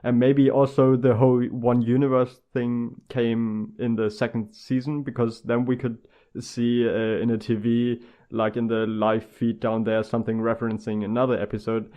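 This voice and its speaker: A man co-hosting a podcast, casually discussing television in a tentative, halting way. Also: mid-range voice, flat delivery, mellow. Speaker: podcast co-host. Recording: dull lo-fi sound.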